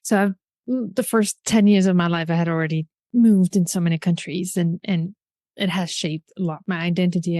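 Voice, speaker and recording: A woman from Algeria telling her life story as a podcast guest, animated and laughing often. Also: mid-range voice, no room sound. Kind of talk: casual interview chat.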